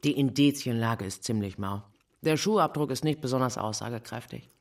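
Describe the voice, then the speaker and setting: A man's voice: mid-range voice, a little fast, lightly acted. A man acting a police investigator in a crime audio drama, matter-of-fact with a wry, needling edge.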